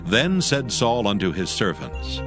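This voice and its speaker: A man's voice: lowish voice, very animated, measured pace. A man narrating scripture from a script in a solemn style. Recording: music bed behind the voice.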